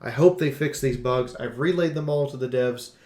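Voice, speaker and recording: A man's voice: medium pitch, moderate pace, darkish tone. A man giving an unscripted game review in an informal, emphatic way, as a channel host talking to viewers. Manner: informal, emphatic, occasional swearing. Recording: roomy, echoing room sound.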